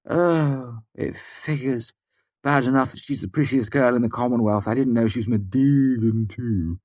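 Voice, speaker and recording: A man voicing an excitable young male student in an audiobook, animated and given to exclaiming. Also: sits high, measured pace, no room sound, pitched above the performer's own voice, full character voice. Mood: wretched.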